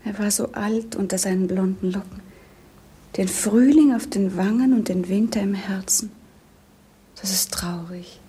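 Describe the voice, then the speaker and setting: Melancholy young princess, soft dark tone, hushed near-whisper, poetic 1950s radio drama. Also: female voice, mid-range voice, measured pace, breathy edge. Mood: sorrowful. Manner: poetic, wistful.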